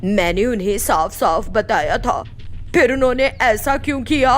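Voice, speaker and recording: A woman's voice: fairly high pitch, normal speed, soft background music, lightly acted. A woman voicing a greedy, harsh older mother-in-law who scolds and accuses. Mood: accusing.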